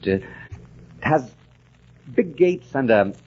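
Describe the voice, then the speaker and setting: An actor playing a haunted amnesiac war veteran, narrating his past in a halting, earnest old-time radio drama style. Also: medium pitch, very animated, darkish tone, husky, exaggerated voice. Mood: searching, urgent. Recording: muffled lo-fi vintage radio recording.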